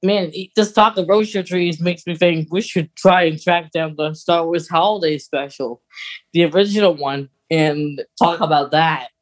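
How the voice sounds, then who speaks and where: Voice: medium pitch, moderate pace. A podcast co-host talking in a casual, conversational way about fan lore. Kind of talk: casual chat about Star Wars lore.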